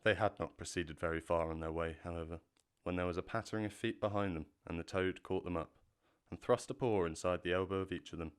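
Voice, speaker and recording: A man narrates a storybook audio drama from a script. His voice is very deep and clear, with a measured, literary delivery. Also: fairly even intonation.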